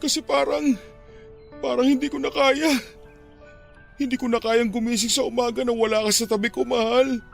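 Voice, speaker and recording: A man's voice: very high voice, fairly even intonation, moderate pace, gravelly, long pauses, soft background music. An actor playing a heartbroken widowed father in a radio drama, talking tearfully, his voice breaking with grief. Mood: longing. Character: grieving widowed father, middle-aged, heartbroken and tender.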